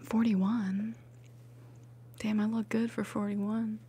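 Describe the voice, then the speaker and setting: A woman in her mid-twenties doing ASMR for live viewers in a soft, breathy whisper, chatting gently and repeating words. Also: mid-range voice, little pitch movement, slow pace, a little hiss or hum. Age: 20s, mid-twenties. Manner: gentle repetition of words and sounds.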